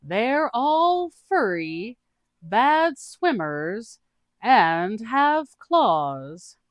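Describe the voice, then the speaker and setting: A woman voicing a dopey, guileless fantasy adventurer with drawn-out, deliberate speech in a read-aloud tabletop story. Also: fairly high pitch, very animated, no echo, pitched above the performer's own voice, full character voice. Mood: blunt, simple.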